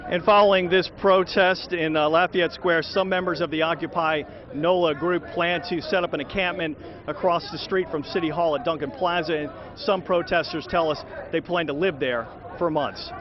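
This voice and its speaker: Male field news reporter, higher-pitched voice, slightly dull audio with a clear street crowd behind. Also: steady tone, normal speed. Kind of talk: live and packaged news report.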